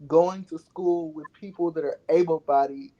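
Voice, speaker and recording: A man from Saint Louis, a guest in a casual personal conversation, speaking in a measured way with frequent "um" pauses. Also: fairly high pitch, fairly even intonation, dull audio, noisy background.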